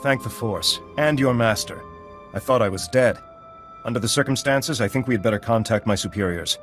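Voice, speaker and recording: An actor playing a wounded Jedi agent who talks in an earnest, urgent way. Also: male voice, medium pitch, moderate pace, a bit bright, close to an everyday voice. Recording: game audio with faint music.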